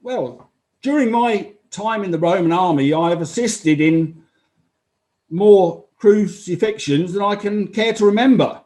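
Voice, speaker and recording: A man playing a sober, earnest Roman army officer giving halting, measured testimony in a dramatized inquest. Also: high-pitched, darkish tone. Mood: matter-of-fact. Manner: halting, measured, solemn witness delivery.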